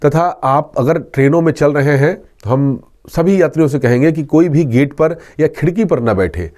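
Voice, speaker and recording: Male police inspector, soft dark timbre, earnest and instructive interview delivery. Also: fairly high pitch, moderate pace. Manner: earnest, instructive, repeats key points. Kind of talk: explanatory interview answers with safety advice.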